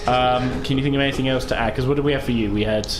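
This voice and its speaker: A man running a tabletop game, explaining the rules slowly with plenty of ums. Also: mid-range voice, steady tone, background noise. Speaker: game master.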